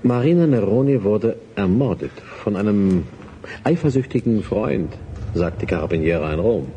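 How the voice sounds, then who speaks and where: A man's voice: lowish voice, animated, normal speed, dark tone, a little hiss or hum. A man playing an earnest agent in a radio drama, reporting to a superior in a businesslike, slightly hesitant way. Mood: serious, concerned. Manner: businesslike, explanatory, a little hesitant. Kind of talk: German radio drama acting.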